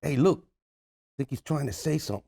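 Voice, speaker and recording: A man's voice: medium pitch, moderate pace, creaky, dark tone, breathy edge. A man playing a brash, bossy Italian-Cuban buddy in a comedy table read, scolding and exclaiming. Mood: urgent.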